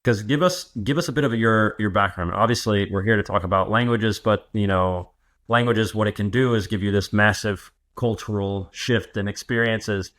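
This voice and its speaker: A man hosting an interview, asking questions in a relaxed, chatty way. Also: fairly low pitch, moderate pace. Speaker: podcast host.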